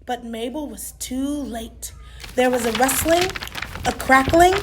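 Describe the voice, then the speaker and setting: A woman reading a children's story aloud with dramatic, drawn-out emphasis. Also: high-pitched, background noise. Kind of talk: animated storybook read-aloud.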